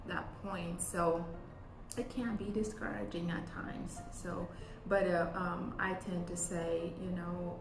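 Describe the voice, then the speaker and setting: A woman from Ethiopia, a business co-founder, talking earnestly in an interview, with many pauses and ums. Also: fairly low pitch. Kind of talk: interview talk. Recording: roomy sound with music behind. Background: music.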